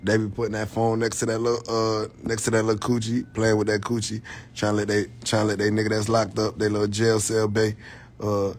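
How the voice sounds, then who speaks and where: A man's voice: lowish voice, completely flat delivery, fairly quick. Joking young man livestreaming from bed. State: tired, late at night.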